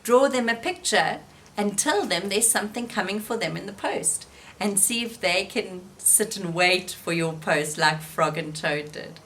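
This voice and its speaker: Slow, expressive woman reading a storybook. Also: mid-range voice, slight background noise. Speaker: storybook reader. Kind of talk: reading a children's picture book aloud.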